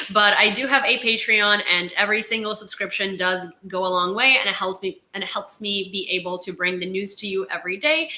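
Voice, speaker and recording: A woman's voice: medium pitch, bright, forceful tone. Chatty woman reading news, echoey room. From Ukraine. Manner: chatty, laughs often, reads headlines aloud. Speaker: news streamer. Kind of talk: casual live-stream news reading and chat.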